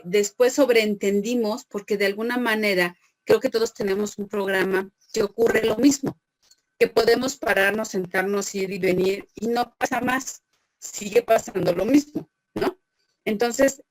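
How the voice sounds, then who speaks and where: A woman's voice: medium pitch, no room sound, low-quality recording. A woman teacher, lecturing an online class, patiently questioning students and praising them by name.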